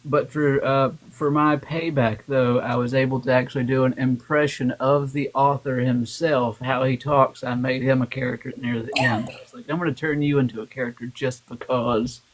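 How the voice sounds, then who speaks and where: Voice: mid-range voice, little pitch movement, moderate pace, few pauses, rough recording. An audiobook narrator guest telling rambling, laughing anecdotes about recording work in a casual chat. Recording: faint hiss.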